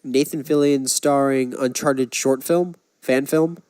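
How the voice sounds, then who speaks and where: A man's voice: mid-range voice, fairly even intonation, a little slow, a little mellow, clean tone, dry recording. A man co-hosting a podcast, talking casually about films in an opinionated, emphatic way. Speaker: podcast co-host. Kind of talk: casual film discussion.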